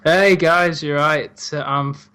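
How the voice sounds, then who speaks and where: Bright, chatty male guest. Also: a little high, steady tone, slow pace, smooth. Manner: chatty and agreeable, laughs easily. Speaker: guest co-host. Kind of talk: casual review chat.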